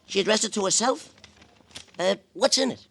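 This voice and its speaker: An actor playing an eager, nosy, breezy post office clerk, a little self-important, in a 1950s radio crime drama. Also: male voice, sits high, moderate pace, gravelly. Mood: nosy, chatty.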